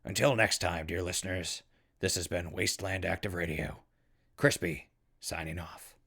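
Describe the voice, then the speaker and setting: A man playing an easygoing, friendly ghoul radio broadcaster in a post-apocalyptic audio drama, husky and gravelly, talking in a casual way. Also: deep voice, steady tone, moderate pace, pushed and bright, full character voice. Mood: logging, upbeat. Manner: casual, conversational.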